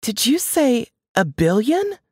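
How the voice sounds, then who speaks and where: A woman's voice: on the high side, very animated, takes it slowly, darkish tone, slightly rough, no room sound, clean studio sound, pitched above the performer's own voice, full character voice. A woman voicing an insistent, startled wife in an audiobook suspense story, full of questions and exclamations. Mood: incredulous. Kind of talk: audiobook character dialogue.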